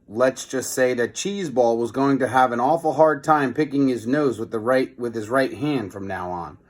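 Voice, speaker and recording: A man hosting a children's read-aloud, moving between expressive storybook reading and informal, hesitant asides. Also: medium pitch.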